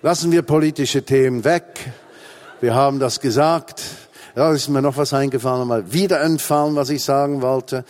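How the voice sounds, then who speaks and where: A man's voice: mid-range voice, moderate pace. A Swiss man in his forties with a Swiss German accent, preaching a humorous sermon with emphatic repetition. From Switzerland. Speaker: pastor.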